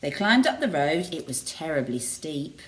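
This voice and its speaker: A woman's voice: sits low, rough recording. A woman reading a picture book aloud expressively, with chatty asides to young listeners. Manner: expressive, deliberate.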